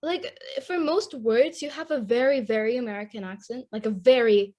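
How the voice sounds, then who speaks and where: Teasing young woman, slightly high voice. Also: moderate pace, darkish tone. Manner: teasing, playful, heavy use of "like". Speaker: podcast co-host. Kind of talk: casual chat.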